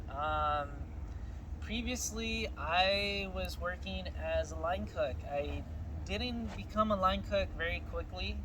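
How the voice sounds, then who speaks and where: A man from California whose first language is Spanish, telling his life story in a relaxed, casual chat and laughing often. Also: high voice, noisy background.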